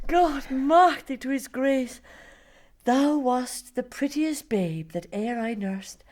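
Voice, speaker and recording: A slow-talking, garrulous old nurse. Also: female voice, high-pitched, darkish tone. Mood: fond. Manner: rambling, dramatic, chuckling storyteller. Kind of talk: Shakespearean audio drama acting.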